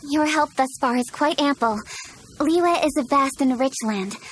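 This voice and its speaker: Woman playing a high-voiced, poised young noblewoman official. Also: slight background noise, natural voice, rough recording. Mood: composed, formal. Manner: formal, articulate, brisk authority. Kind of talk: video game voice acting.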